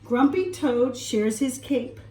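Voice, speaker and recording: A woman storytime teacher reading aloud to preschoolers, expressive, with questions for the young listeners. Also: high voice, slow, echoey room, far from the mic.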